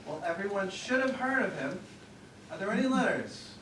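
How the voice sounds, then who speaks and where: A man's voice: sits high, very animated, normal speed. A man playing an irritable, exasperated husband in a live stage comedy, testy and declamatory. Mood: impatient. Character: irritable exasperated husband trying to read his paper. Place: small theater stage. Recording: echoey theater from far off, noisy.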